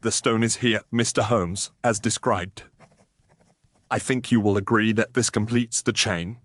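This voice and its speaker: A man plays a dutiful police inspector in a period detective story. The voice is lowish and very even. He talks with grave official formality, close and dry. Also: unhurried, dry recording, lightly acted. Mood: official, firm.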